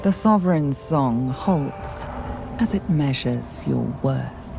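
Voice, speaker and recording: A woman with a very deep voice narrates a fantasy game over loud music. She is slow and measured, with a gravelly creak and a hushed, dramatic storyteller's delivery. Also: lively intonation.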